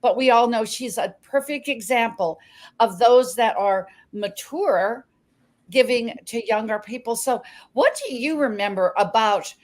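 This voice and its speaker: A woman's voice: a little high, unhurried, a little mellow. A woman hosting an online talk show, speaking effusively and gushing with praise, often restarting her sentences. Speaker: show host. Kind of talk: casual interview chat.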